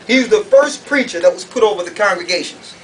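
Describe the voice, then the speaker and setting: A male preacher with a notably high-pitched voice delivers a fiery, confrontational sermon. He leans on emphatic rhetorical questions as he addresses his audience. The recording is picked up from a distance with some background noise. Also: expressive, quick pace. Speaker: preacher, conference speaker. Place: conference room or hall. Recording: distant mic, noisy room ambience.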